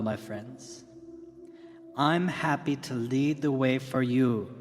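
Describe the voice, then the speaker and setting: A man playing a confident visionary company founder in a radio drama, delivering a grandiose keynote with deliberate dramatic pauses. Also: medium pitch, lightly acted. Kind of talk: theatrical keynote address. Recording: long hall reverb with music behind.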